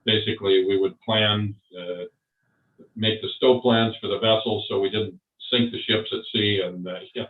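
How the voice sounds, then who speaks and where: An older man recalling his service and career in an interview, talking slowly with many pauses and fillers. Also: fairly low pitch, steady tone. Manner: slow, halting, many fillers. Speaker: interviewee, Vietnam veteran. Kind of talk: oral history interview. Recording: far off, roomy, phone-like.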